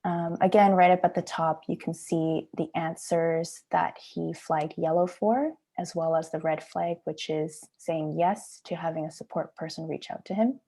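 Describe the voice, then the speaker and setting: A woman giving an informal walkthrough of software as a project manager. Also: sits low, fairly even intonation, moderate pace, hardly any pauses.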